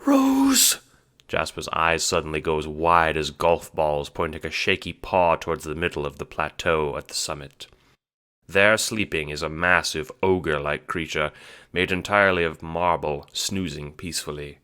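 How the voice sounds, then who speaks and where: Low-voiced man narrating an audio drama. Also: fairly even intonation, moderate pace, bright, forceful tone, clean tone. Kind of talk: scripted second-person storybook narration.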